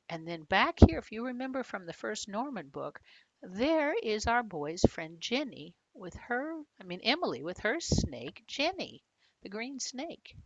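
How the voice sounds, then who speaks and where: Woman children's author, storybook read-aloud with chatty asides, lively pitch, dry close sound. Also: no room sound, muffled recording. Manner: expressive, dramatic pauses, questioning listeners. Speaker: children's author reading own book aloud.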